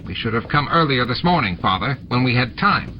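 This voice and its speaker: A deferential alien son, acted by a male narrator in a storybook tale. He speaks eagerly and obsequiously, always out to please. There is clear noise behind the voice. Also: medium pitch, lively intonation, fairly quick, lo-fi sound, close to an everyday voice. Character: obsequious adult alien son of a scheming patriarch. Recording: noisy audiobook with added sound effects.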